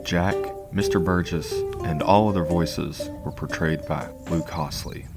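A man's voice: deep voice, fairly even intonation, a little slow. A man reading scripted cast and music credits as an announcer. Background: loud guitar music.

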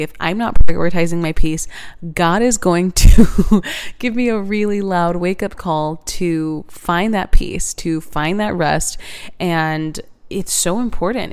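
A young woman in her twenties talks earnestly about faith and rest, in a casual, rambling way full of fillers and small laughs. Her voice sits a little low and sounds smooth and clear. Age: 20s. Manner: earnest, warm-hearted, laughs often, many fillers. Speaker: podcast host. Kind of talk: reflective faith podcast monologue.

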